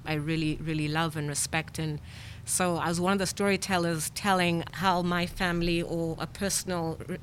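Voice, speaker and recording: A woman's voice: low voice, slight background noise, rough recording. A woman from Zimbabwe, a poet and storyteller, talking reflectively in conversation and asking herself questions. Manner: thoughtful, questioning, frequent murmured agreement.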